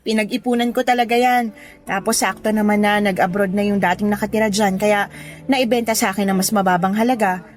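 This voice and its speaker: An actress with a Filipino accent playing a reserved, slightly awkward younger sister in restrained radio drama dialogue. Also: female voice, medium pitch, steady tone, fairly quick, natural voice. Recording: faint music under dialogue. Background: faint music.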